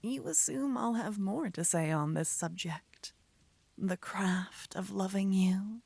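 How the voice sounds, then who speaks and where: A woman voicing a lovestruck young female mage in a soft, breathy, often whispered voice, tenderly coaxing her lover in a romantic ASMR roleplay. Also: mid-range voice, slow, dry recording. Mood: adoring.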